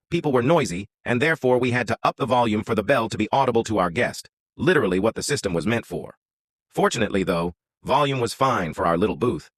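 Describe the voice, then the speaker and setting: A man's voice: on the low side, no room sound. A man reading out an online story as a scripted narration.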